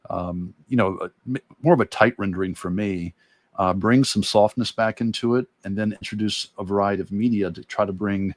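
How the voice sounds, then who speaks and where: Low-voiced man talking through his craft. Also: no echo. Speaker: guest illustrator and art teacher. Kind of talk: casual explanatory livestream talk.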